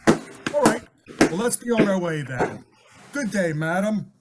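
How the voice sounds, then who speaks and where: A man playing a halting, officious police inspector in a radio play, often correcting himself. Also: high voice, lively intonation, heavy background noise. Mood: reassuring. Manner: hesitant, self-correcting. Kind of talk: old-time radio play acting.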